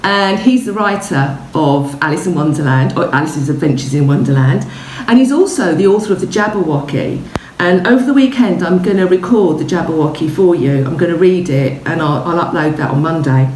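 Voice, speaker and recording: A woman teacher giving an informal show-and-tell lesson to children, chatty, explaining things simply and often checking in with a quick okay. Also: deep voice, animated, a little mellow. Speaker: primary school teacher. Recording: roomy echo, distant mic, faint noise.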